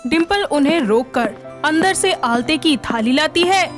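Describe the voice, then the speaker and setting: A woman's voice: high voice, expressive, measured pace, faint music behind. A woman narrator reading a scripted moral story.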